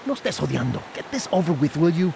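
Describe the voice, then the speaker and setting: An actor playing a surly, impatient police driver with a Spanish accent and a gravelly voice in a video game. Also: male voice, on the high side, big pitch swings, quick pace, a little hiss or hum, heavily acted. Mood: irritable. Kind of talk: video game cutscene acting. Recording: loud music and vehicle behind.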